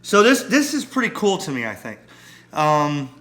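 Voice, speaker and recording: A man hosting a casual hobby radio vlog, chatting to camera in an excitable way and often repeating himself. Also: a little high, moderate pace. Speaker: ham radio vlogger, host.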